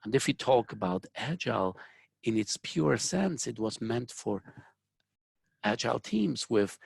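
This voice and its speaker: A Swiss man in his fifties, presenting a business webinar remotely in a measured way, often restarting and repeating words. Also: medium pitch, lively intonation, creaky, husky, no echo. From Switzerland. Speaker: webinar speaker, company founder. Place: home or office, joining remotely.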